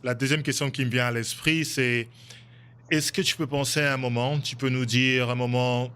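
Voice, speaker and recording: A man's voice: mid-range voice, bright, forceful tone, clean tone. A man hosting a casual interview podcast, asking loose, hesitant questions with frequent restarts. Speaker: podcast host.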